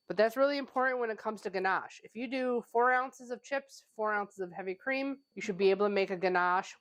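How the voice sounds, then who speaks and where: Stuffy-voiced woman cooking-channel host. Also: medium pitch. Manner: chatty, conversational, with frequent "like" fillers. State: stuffy, getting over a cold. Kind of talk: casual cooking tutorial. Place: home kitchen.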